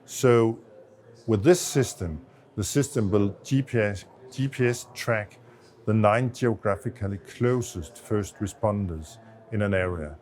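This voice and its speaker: Low-voiced Danish man explaining. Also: measured pace, darkish tone. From Denmark. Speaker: associate professor of medicine, expert interviewee. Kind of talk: explanatory interview answers on a medical project.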